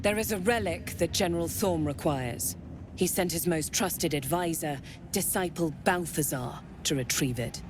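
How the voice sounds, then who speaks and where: An actress playing a seductive, menacing female commander of a dark cult in a video game, sultry and commanding in manner. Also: on the low side, moderate pace, noisy background, close to an everyday voice.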